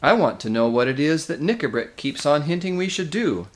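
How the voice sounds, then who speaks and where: A man's voice: a little high, big pitch swings, fairly quick, voice pushed up. A man voicing a dutiful young king in a storybook, commanding and exasperated.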